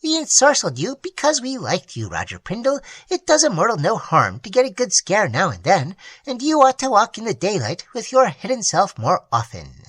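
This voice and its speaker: Tiny wheedling male troll, as a woman puts him on, shrill and tinkling, wildly swooping pitch. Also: huge pitch swings, normal speed, few pauses, slightly rough, no room sound. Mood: pleading.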